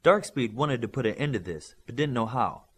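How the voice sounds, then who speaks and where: A man narrating an audiobook in a steady, expressive reading. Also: mid-range voice, moderate pace, slightly rough. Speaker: audiobook reader.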